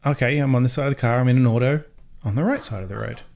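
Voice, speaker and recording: A man's voice: medium pitch, racing, vocal fry, darkish tone. A man from Sydney with a mild Australian accent, rambling and restarting sentences as a podcast co-host in casual chat. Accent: mild Australian, softened by years in America. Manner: rambling, restarts mid-sentence, laughs easily.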